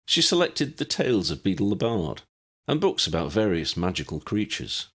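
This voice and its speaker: Low-pitched husky man reading a story. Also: fairly bright tone. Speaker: audiobook narrator. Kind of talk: scripted story narration.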